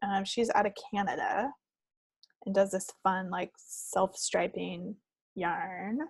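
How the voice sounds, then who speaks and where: Female podcast co-host, casual and rambling, with plenty of vocal fry and a dry, close sound. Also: medium pitch, fairly even intonation, slow pace, no room sound. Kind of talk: casual chat about projects. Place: recorded at own end of a remote video call.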